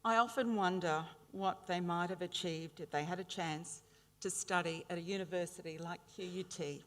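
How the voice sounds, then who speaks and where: A woman's voice: lowish voice, steady tone. Middle-aged Australian woman in a hall. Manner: warm humorous anecdotes, measured delivery. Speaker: graduation ceremony speaker. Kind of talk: formal ceremonial speech. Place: large indoor graduation hall. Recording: reverberant ceremony hall.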